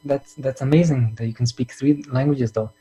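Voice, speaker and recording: A man's voice: medium pitch, steady tone, normal speed, mellow, low-quality recording. A young man, a student, asking interview questions in a friendly way with some fillers. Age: young adult.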